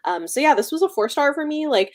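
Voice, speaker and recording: A woman's voice: high-pitched, lively intonation, quick pace, darkish tone. A woman speaking casually as a panelist in a group book discussion.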